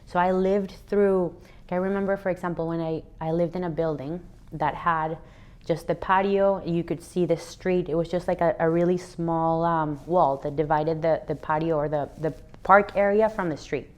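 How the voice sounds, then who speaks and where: Woman, thirties, from Venezuela, interview guest; low voice, reflective, earnest. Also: fairly even intonation, normal speed, a little mellow. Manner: earnest, reflective, frequent restarts. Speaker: interview guest, athlete and fitness educator. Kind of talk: relaxed interview answers.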